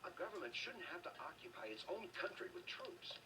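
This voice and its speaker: A defiant rebel in a video game, with a fairly high male voice. He argues against the government in an earnest, insistent, preachy way, on distant and noisy audio. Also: wildly swooping pitch, fairly quick.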